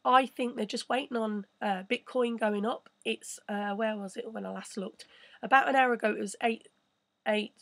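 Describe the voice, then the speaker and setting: A woman hosting a casual live stream, chatting and rambling with a croaky voice. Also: medium pitch, a bit bright, dry recording. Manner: chatty, rambling, reads viewer messages. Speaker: crypto channel host.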